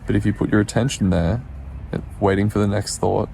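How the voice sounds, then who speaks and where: A man guides a meditation in a low, mellow voice with a gravelly fry. He speaks soothingly and takes his time, leaving space between gentle instructions. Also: fairly even intonation, slight background noise. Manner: soothing, unhurried, with pauses.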